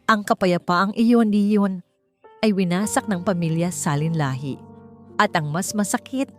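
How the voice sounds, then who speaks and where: A woman reading a scripted dramatic story as its narrator. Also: mid-range voice.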